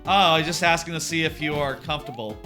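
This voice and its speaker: A man livestreaming a game, talking casually in a loud voice that rambles and corrects itself, sitting about an arm's length from his microphone. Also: fairly high pitch, fast talker, faint music behind. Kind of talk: casual live gameplay chat.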